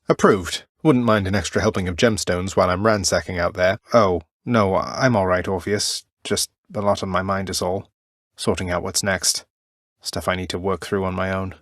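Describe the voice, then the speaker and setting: Casting: young prince of the underworld for a video game, low voice, dry wit, courteous, very close dry recording. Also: male voice, no echo. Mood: gently troubled. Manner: casual, dry, understated, polite. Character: young male underworld prince, dry-witted, polite, friendly.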